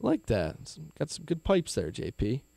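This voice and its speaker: A man, a little raspy today, co-hosting a casual baseball podcast in a laid-back way. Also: wildly swooping pitch, normal speed, warm tone, dry recording. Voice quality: baritone. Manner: laid-back, easy laughs. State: slightly raspy from singing. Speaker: podcast co-host. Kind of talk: casual sports podcast chat.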